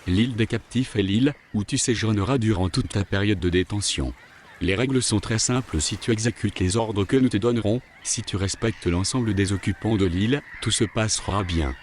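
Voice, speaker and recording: A man playing a stern, matter-of-fact kidnapper who translates for his boss in an audio drama, measured and firm. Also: on the low side, darkish tone, slight background noise, close to an everyday voice.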